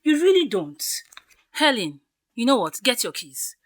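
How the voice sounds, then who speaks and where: A woman's voice: on the high side, very animated, measured pace. A woman playing a bossy, indignant young bridesmaid who scolds her friend emphatically in a radio drama. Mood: urgent, insistent.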